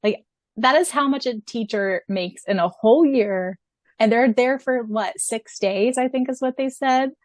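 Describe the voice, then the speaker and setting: A young adult woman co-hosting a podcast in an animated, exclamatory, casual style. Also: a little high, unhurried, dry recording. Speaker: podcast co-host. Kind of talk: casual chat.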